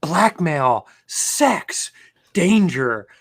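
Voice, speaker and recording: A man's voice: fairly high pitch, big pitch swings, extremely slow, husky. A man co-hosting a comedy show, bantering and reading reviews aloud in a playful, mock-dramatic way.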